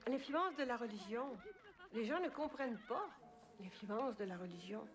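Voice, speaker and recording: Une femme interviewée qui réfléchit à voix haute, lente et appuyée. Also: female voice, on the high side, animated. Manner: slow, emphatic. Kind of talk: reflective interview answer.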